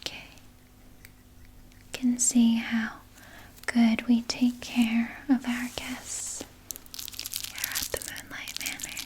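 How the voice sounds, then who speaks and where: A woman playing a sinister, soothing vampire nurse in an ASMR roleplay, speaking in a soft, breathy whisper with coaxing, falsely reassuring words. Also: fairly high pitch, completely flat delivery, heavily acted, poor audio. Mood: sweetly coaxing. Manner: slow, coaxing, falsely reassuring, close personal address. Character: sinister, soothing female vampire nurse tending her captive patient.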